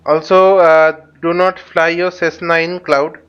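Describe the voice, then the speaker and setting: High-pitched man, dull recording. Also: level tone, a bit bright, clear, resonant tone. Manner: halting, repeats words and phrases. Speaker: flight simulator instructor. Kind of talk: spoken tutorial while flying a simulator. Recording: dull lo-fi microphone sound.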